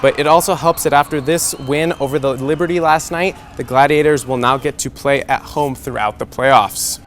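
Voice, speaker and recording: A man's voice: medium pitch, steady tone, normal speed, a bit bright, a little hiss or hum. A young man anchoring a scripted TV sports newscast. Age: young adult.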